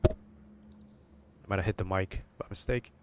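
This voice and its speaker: A man's voice: sits low, steady tone, normal speed, very noisy. Wary, grumbling male game streamer. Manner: wary, grumbling, swears casually. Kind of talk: casual livestream commentary.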